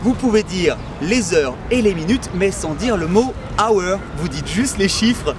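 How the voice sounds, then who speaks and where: High-voiced mock-earnest teacher. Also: male voice, expressive, measured pace, noisy background. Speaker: comedic language teacher host. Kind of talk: parody lesson.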